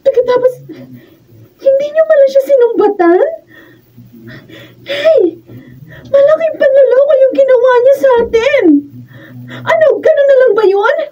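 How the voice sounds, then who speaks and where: A woman's voice: very high-pitched, a little slow, pauses often, warm tone. A woman acting a hurt, indignant daughter in a Filipino radio drama, tearful and accusing toward her mother. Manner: tearful, accusing, emotionally heightened. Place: radio drama recording studio, filmed on camera. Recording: distant, dull lo-fi room pickup.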